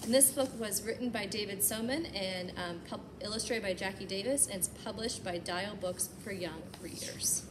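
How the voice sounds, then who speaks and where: A woman reading a picture book aloud as a storytime host, with expressive, animated delivery and chatty asides aimed at young children. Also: medium pitch, moderate pace, few pauses, noisy background.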